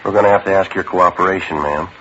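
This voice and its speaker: A deadpan police sergeant. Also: male voice, sits low, fast, a little hiss or hum. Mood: clipped. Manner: flat, clipped, deadpan. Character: terse no-nonsense police sergeant narrator. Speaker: detective narrator. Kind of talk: 1950s radio drama acting. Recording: muffled old radio broadcast.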